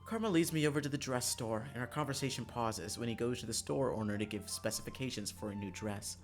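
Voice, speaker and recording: A man's voice: mid-range voice, quick pace. A man streaming a visual novel, reading the narration aloud in a dramatic storytelling style, with music behind his voice.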